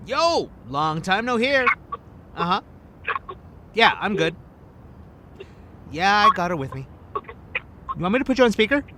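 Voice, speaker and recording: An actor playing a cocky, flirtatious, eccentric field agent in an audio drama, smug and theatrical, dropping in bits of Spanish. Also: male voice, high voice, very animated, measured pace, pauses often, sharp tone, slight background noise, heavily acted. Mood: breezy, coaxing.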